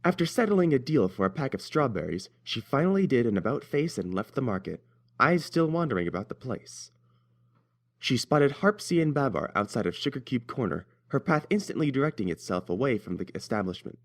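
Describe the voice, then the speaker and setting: A man's voice: medium pitch, very animated, brisk. A man narrating a scripted story as its reader.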